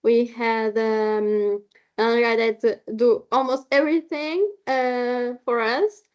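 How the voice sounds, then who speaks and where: Woman, non-native English speaker, high voice, halting informal presenter, dull lo-fi call audio. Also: fairly even intonation, a little mellow, clean tone. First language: not English. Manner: halting, searching for words. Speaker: short film maker introducing the film. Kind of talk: informal spoken presentation at online event. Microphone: video call.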